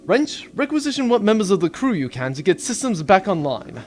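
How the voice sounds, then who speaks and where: A man's voice: sits high, big pitch swings, fairly quick, a little mellow, slight background noise, voice pushed up. A man playing a casual, flippant starship captain in a comic audio drama, speaking in a conversational way. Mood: brisk, commanding. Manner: flippant, conversational, hesitant at times.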